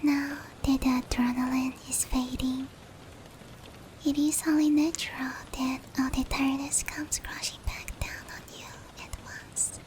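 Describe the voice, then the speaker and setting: A woman voicing a gentle, protective female demon in a soothing, breathy whispered ASMR roleplay, slow and comforting. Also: sits high, little pitch movement, slight background noise, rough recording. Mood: soothing, sleepy. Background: rain.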